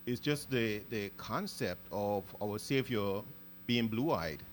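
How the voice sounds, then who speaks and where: Man, host, lowish voice, hesitant and searching for words, faint hum behind. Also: lively intonation, takes it slowly. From Guyana. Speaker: podcast host. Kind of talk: casual interview conversation.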